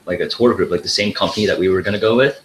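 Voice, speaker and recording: A man's voice: deep voice, racing, a bit bright, slight background noise, low-quality recording. A young man talking as a podcast guest in a casual, laid-back way, saying "like" a lot. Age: young adult. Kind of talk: casual chat.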